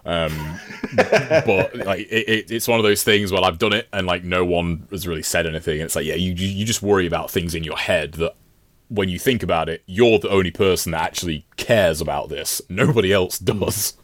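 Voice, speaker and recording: A man with a low voice in a dry, close recording, chatting as a guest on a gaming podcast. He rambles along in a casual, self-deprecating way. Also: normal speed, no echo. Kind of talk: casual conversational chat.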